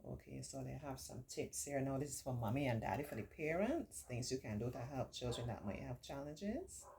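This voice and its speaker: Middle-aged female storytime tutor, deep voice, reassuring and encouraging, stretches key words while reading and explaining to children and parents. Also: lively intonation, a little fast, gravelly, dark tone, a little hiss or hum. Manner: encouraging, reassuring, repeats points for emphasis. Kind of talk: read-aloud story with warm, informal teaching asides.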